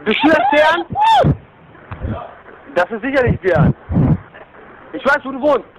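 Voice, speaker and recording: A man's voice: very high-pitched, big pitch swings, measured pace. Curt man on a noisy speakerphone. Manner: curt, dismissive. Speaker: prank call victim. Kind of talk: phone conversation.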